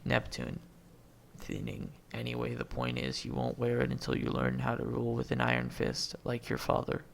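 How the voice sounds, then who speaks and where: A man's voice: mid-range voice, very animated, normal speed, gravelly. A man reading a movie script aloud as an amateur, announcing names and stage directions and stumbling over words.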